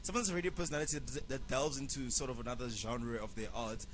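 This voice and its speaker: A man's voice: mid-range voice, fairly even intonation, quick pace, faint music behind. A man co-hosting a radio show who gives his opinions thoughtfully, searching for words and restarting his sentences. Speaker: radio co-host. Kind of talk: casual radio banter.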